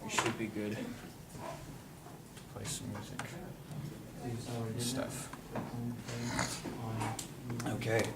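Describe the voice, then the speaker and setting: A man with a fairly low voice talks through a hands-on equipment demonstration. He is informal and halting, often thinking aloud as he works. The recording is very noisy. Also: little pitch movement. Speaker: instructor demonstrating audio equipment. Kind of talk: casual hands-on tutorial. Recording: clearly noisy room recording with handling sounds.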